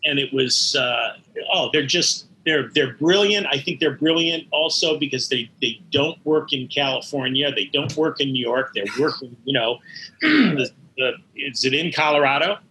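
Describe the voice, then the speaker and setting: Man, middle-aged or older, conversational, bright voice, pauses to find words, slight noise behind. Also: medium pitch, poor audio. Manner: halting, thoughtful, searching for words. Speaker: interview guest, animation studio head. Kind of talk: conversational interview, reminiscing.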